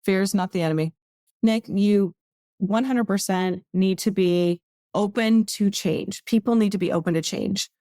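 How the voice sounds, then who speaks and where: A woman's voice: medium pitch, normal speed, dark tone, no room sound, studio quality. A woman guest on a podcast, speaking in a firm, matter-of-fact way. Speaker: podcast guest, government advocacy consultant. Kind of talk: casual podcast conversation.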